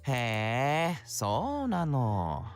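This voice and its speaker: A man's voice: mid-range voice, wildly swooping pitch, very slow, soft background music, exaggerated voice. Swooping, laid-back young man. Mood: mildly intrigued. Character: laid-back, whimsical young male anime fan. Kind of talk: Japanese visual novel voice acting.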